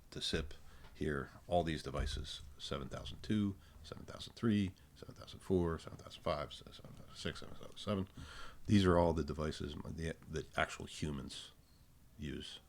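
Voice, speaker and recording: Very deep, gravelly man explaining tech. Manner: informal, halting, thinking aloud. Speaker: presenter of a technical tutorial. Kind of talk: casual unscripted screencast walkthrough.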